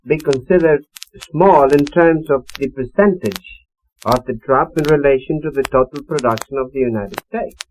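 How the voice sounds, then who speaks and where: A middle-aged man speaking formally and deliberately as an experienced trade expert in a panel interview, on an old acetate disc. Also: medium pitch, a little mellow, a little hiss or hum, rough recording.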